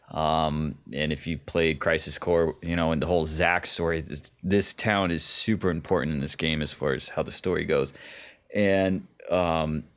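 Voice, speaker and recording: A man co-hosting a podcast, chatting casually about video games and haltingly searching for his words. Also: low-pitched. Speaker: podcast co-host.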